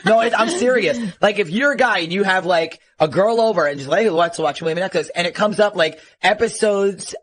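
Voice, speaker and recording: A man's voice: sits high, brisk, few pauses, fairly bright tone, a little hiss or hum. A man hosting a radio show, bantering playfully with a caller.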